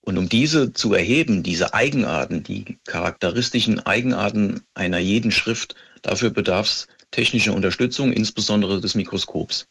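A middle-aged man answering interview questions as a police expert, explaining in a methodical, precise and measured way. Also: lowish voice, creaky, a bit bright, breathy edge.

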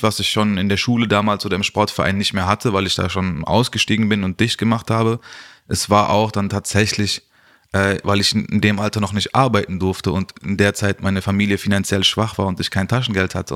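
A man with a fairly low voice talks as an interview guest. He is from Germany and speaks reflectively and colloquially, laying out his account step by step. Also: a bit bright. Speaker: social worker, interview guest. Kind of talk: personal, reflective interview talk.

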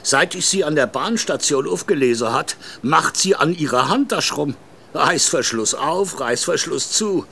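A man's voice: fairly high pitch, lively intonation, measured pace, creaky, bright, forceful tone, slight background noise, exaggerated voice. An actor playing an observant, slightly nosy man at a country inn, narrating what he notices in the first person. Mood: observant, dry.

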